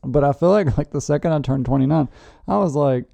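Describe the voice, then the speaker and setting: A woman's voice: low voice, fairly quick, creaky, warm tone, no echo. A woman co-hosting a podcast, chatting casually and teasing her partner with jokes. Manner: joking, teasing. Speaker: podcast co-host. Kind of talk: casual chat.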